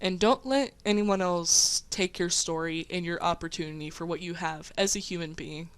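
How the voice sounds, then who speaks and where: A woman's voice: lowish voice, moderate pace, clean tone, no room sound. A woman chatting casually, with an encouraging, slangy, informal way of talking. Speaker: host of a casual group chat. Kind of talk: casual chat.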